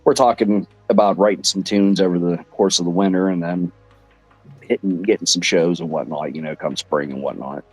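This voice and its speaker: A hoarse man with a low voice, a rock singer appearing as a guest, rambling in a relaxed way with plenty of fillers. The sound is close and dry, with faint music underneath. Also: moderate pace, dry recording, poor audio. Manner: laid-back, filler-heavy, rambling. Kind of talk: casual chat.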